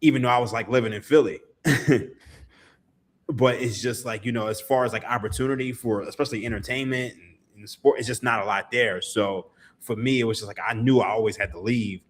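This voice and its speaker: A man's voice: medium pitch, fairly quick. A man, a podcast guest telling stories in casual chat, laughing and swearing freely. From Philadelphia, USA. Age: 30s. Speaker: podcast guest, sports writer.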